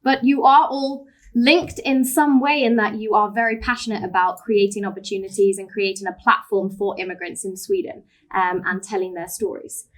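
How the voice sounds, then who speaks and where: A woman's voice: mid-range voice, level tone, moderate pace, few pauses, a bit bright. British woman moderating a panel. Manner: friendly, encouraging, asks follow-up questions. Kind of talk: hosting a panel discussion.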